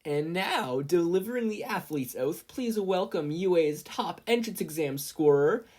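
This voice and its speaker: A narrator voicing a commanding woman announcing from a stage with projected, drawn-out emphasis. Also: medium pitch, expressive, a little mellow, pitched above the performer's own voice. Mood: rousing. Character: commanding female stage announcer at sports festival.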